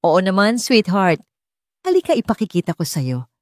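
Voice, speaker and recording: A woman voicing a gentle, shy young female librarian in an audiobook, sweet and polite. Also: mid-range voice, wildly swooping pitch, moderate pace, no echo, studio quality. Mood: affectionate.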